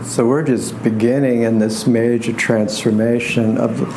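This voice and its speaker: A soft-voiced man giving an informal astrology lecture to a live group, halting and wryly humorous, with pauses and repeats. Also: medium pitch, fairly even intonation, background noise. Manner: wry, self-deprecating humor.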